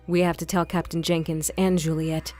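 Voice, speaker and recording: A woman's voice: low-pitched, little pitch movement, normal speed, darkish tone, far from the mic, natural voice. A woman playing a determined police inspector who narrates her case in an audio crime drama. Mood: serious, urgent. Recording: music behind the voice.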